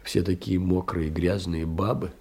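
An actor playing a lonely, meek middle-aged clerk, musing tenderly in a husky, gravelly voice in a theatrical monologue. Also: male voice, low-pitched, steady tone, measured pace, mellow, full character voice. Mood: wistful, musing. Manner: musing, intimate, hesitant storytelling. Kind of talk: theatrical literary monologue in an audio play.

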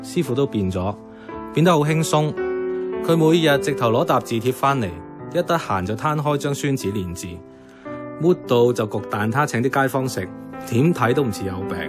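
An actor playing a wry, reflective young tea-restaurant worker in a Hong Kong Cantonese radio drama, narrating and chatting colloquially. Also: male voice, mid-range voice, lively intonation, normal speed, over music, natural voice.

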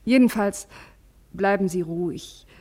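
An actress playing a calm, reassuring woman with a matter-of-fact manner in a 1950s German radio play. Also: mid-range voice, measured pace, natural voice. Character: calm, reassuring woman advising a worried acquaintance.

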